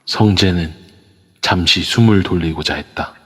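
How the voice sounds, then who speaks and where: A man narrating an audio drama in a calm, measured storytelling voice with a husky tone. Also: deep voice, in a large hall.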